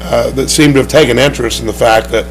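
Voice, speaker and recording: Hoarse man promoting his race track. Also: mid-range voice, fairly even intonation, fast talker, a little hiss or hum. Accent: Oklahoman. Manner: upbeat promotional, rambling with many restarts. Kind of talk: TV studio interview. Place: TV studio.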